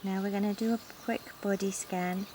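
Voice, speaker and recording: A woman leading a guided yoga nidra relaxation in a calm, gentle, soothing manner with long pauses, recorded outdoors in a garden. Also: mid-range voice. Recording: outdoor recording with insect and nature noise. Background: insects, sounds of a sunny garden.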